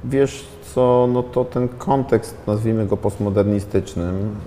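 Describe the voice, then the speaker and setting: A man in his thirties speaks in a very low, dark and mellow voice, weighing each thought with pauses, fillers and restarts. He is a film director answering questions on a studio set in a glass-walled hall. Faint background hiss sits under his lapel-mic sound. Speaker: film director, interview guest. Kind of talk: reflective interview talk.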